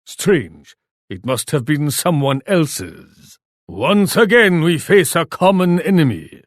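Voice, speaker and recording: An actor playing a proud, imperious Japanese warlord in a video game, speaking formally. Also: male voice, a little high, sing-song, slow, dry recording, studio quality. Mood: menacing, scornful. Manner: imperious, formal, speaks of himself in third person. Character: proud, haughty Japanese warlord commanding his armies. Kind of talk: video game character voice lines.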